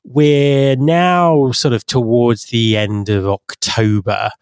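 A man's voice: medium pitch, fairly even intonation, slow pace, clean tone, dry recording, studio quality. A man hosting a podcast, asking his guest questions in an upbeat, conversational way. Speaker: podcast host. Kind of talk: casual interview hosting.

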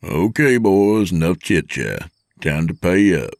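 A man's voice: deep voice, sing-song, no room sound, studio quality, exaggerated voice. A man voicing a teasing, dramatic trucker, sarcastic, in an audiobook story. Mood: wry. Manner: drawn-out sarcastic ribbing. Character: teasing male trucker in late forties, wry, dramatic.

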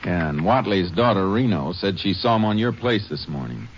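A man playing a calm, businesslike American Texas Ranger who questions tersely, in a 1950s radio drama. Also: sits low, normal speed. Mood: probing. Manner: terse, matter-of-fact questioning. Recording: noisy, muffled old 1950s radio recording.

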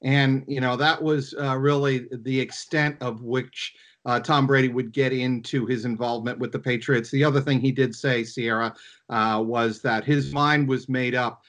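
A man giving unscripted sports analysis as a reporter, in halting phrases with frequent pauses. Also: mid-range voice, fairly even intonation, fairly bright tone.

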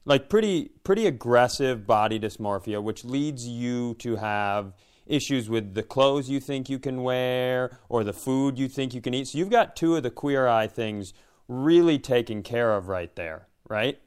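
A man's voice: mid-range voice, measured pace, clear, resonant tone. A man co-hosting a podcast, chatting casually and telling comic stories with some swearing. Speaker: podcast co-host. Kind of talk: casual comedic banter.

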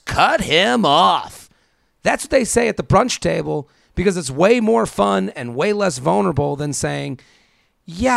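Man in his thirties, gravelly, higher-pitched voice. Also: no room sound, clean studio sound. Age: 30s. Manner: animated quoting of imagined speakers, rhetorical questions. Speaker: podcast co-host. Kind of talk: casual advice chat.

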